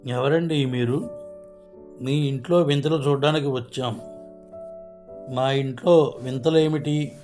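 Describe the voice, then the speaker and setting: A man's voice: mid-range voice, fairly even intonation, unhurried, pauses often, darkish tone, faint music behind, lightly acted. A man playing village folk in the scripted dialogue of a storybook moral tale. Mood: puzzled. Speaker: voice of the story's characters.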